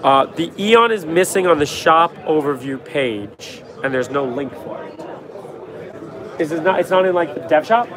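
A young man hosting a casual livestream from a trade show floor, answering chat in a quick, offhand, joking way, his voice worn from a cold. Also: on the high side, animated. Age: young adult. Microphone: phone held at arm's length. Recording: noisy crowded convention hall. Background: crowd chatter.

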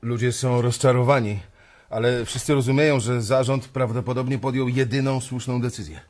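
An actor playing an uneasy young banker who narrates a radio drama in a measured, reflective way. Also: male voice, medium pitch, steady tone, darkish tone, close to an everyday voice. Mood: careful. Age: young adult.

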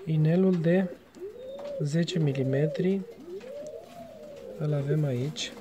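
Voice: mid-range voice, a crowd in the background. A speaker, casually narrating a show-and-tell vlog as a pigeon breeder, hesitant and often repeating phrases. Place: pigeon aviary. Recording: noisy, cooing pigeons around. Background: birds cooing, clear ambient noise.

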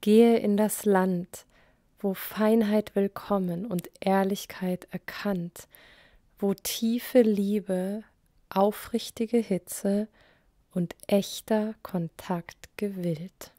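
A woman recites poetry very slowly in short, measured phrases. Her voice is soft and mellow, often trailing into breath at the ends of lines. The recording is dry and close, with long pauses between phrases. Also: mid-range voice, fairly even intonation, no room sound. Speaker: poet.

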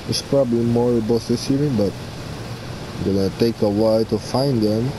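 A young man giving casual gameplay commentary, halting as he muses aloud, close to the mic. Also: medium pitch. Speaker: gaming video host. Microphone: mic placed right in front. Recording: steady background hum and noise.

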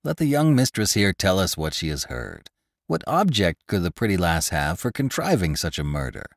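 A man's voice: low-pitched, big pitch swings, moderate pace, no room sound. Man voicing impatient cloth merchant, brusque, audiobook, dry close read.

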